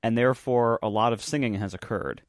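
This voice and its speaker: A hoarse man hosting a podcast, chatting casually, rambling with many fillers and frequent laughs. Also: mid-range voice, brisk, dry recording, studio quality. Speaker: podcast host. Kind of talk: casual chat.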